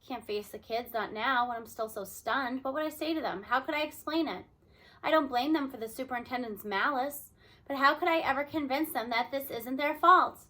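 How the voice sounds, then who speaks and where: A woman's voice: sits high, moderate pace, bright, forceful tone, rough recording. A woman voicing a weary, sardonic older male teacher in a storybook, with emphatic delivery. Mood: dejected.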